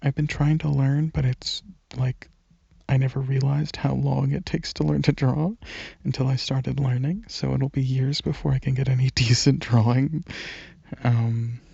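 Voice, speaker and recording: A man speaking softly and breathily, often near a whisper, in a casual, halting ASMR ramble into a close microphone. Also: mid-range voice, fairly even intonation, vocal fry, breathy edge, no room sound, dull audio. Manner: hesitant, halting, informal. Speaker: ASMR channel creator.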